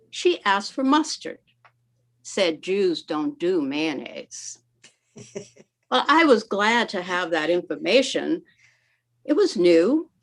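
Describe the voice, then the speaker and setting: An older woman from the American South reading her own poem aloud, wry and conversational, with playful asides to the audience. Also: on the high side. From Southern United States. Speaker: poet reading her work. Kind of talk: spoken word poetry reading.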